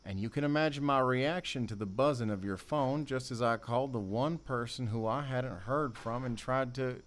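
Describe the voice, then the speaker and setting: A man performs a male ex-convict in an audio drama. He is sardonic and wounded, with a soft heart under the tough front. His voice sits at an ordinary male pitch, and he speaks with open emotion and teasing familiarity. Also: moderate pace, lightly acted. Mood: wry, reproachful.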